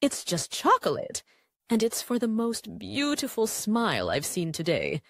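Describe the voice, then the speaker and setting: A woman voicing a charming foreign male hotel guest, gentle and confident as he courts, in an audio drama. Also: mid-range voice, huge pitch swings, measured pace, gravelly, dry recording, full character voice. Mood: flirtatious, gentle.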